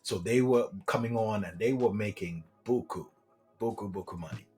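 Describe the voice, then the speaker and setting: A middle-aged man with a low voice tells his story in a friendly, lively way. Faint music plays underneath. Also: normal speed. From Trinidad and Tobago. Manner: animated, friendly, peppers speech with "man". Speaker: guest, government contracting coach. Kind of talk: casual interview storytelling.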